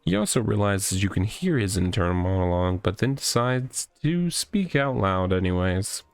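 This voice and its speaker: A man voicing a deadpan, mock-solemn storyteller who narrates absurd inner monologue in a video game. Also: lowish voice, moderate pace, clear, resonant tone, no echo, soft background music.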